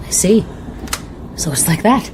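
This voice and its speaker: A woman playing a composed but fearful woman on the run in a radio drama, grave and measured. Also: lively intonation, close to an everyday voice. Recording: noisy radio drama, train rumble behind.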